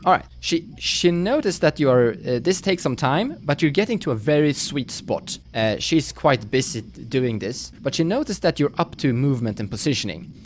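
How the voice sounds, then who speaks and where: A man running a tabletop roleplaying game, narrating the action off the cuff in a halting, thinking-aloud way. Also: medium pitch, expressive. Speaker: game master. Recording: faint noise, live stream audio.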